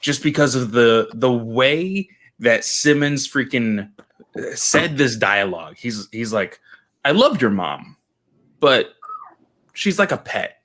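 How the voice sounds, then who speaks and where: Man, podcast co-host, casual chat, emphatic, mid pitch. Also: expressive, slow pace, fairly bright tone.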